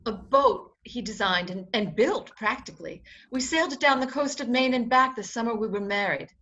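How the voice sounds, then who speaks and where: A woman acting a haughty, sharp-tongued society bride in a 1940s radio play, with a clipped, imperious delivery. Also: a little high, lively intonation, moderate pace, highs cut off. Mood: wistful.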